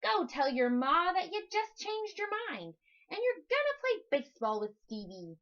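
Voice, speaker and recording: A woman's voice: very high voice, very animated, moderate pace, fairly bright tone, dull audio, pitched above the performer's own voice, exaggerated voice. A woman voicing a lanky, shifty male trickster in a children's storybook, with brash, slangy patter. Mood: slick, cocky. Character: tall lanky shifty male con artist, slick and pushy.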